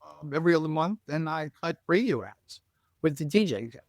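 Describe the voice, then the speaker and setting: A woman with a deep voice, chatting as a guest on a podcast and telling stories in a friendly, talkative way. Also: animated, brisk, darkish tone, no echo, clean studio sound. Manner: chatty, tells anecdotes freely. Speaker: shop staff member and guest. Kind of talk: casual podcast chat.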